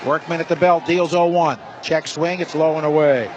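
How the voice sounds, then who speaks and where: A man's voice: a little high, normal speed, muffled recording. A man doing live radio play-by-play of a baseball game as the announcer. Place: stadium broadcast booth. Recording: steady stadium noise behind voice. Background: loud ballpark ambience.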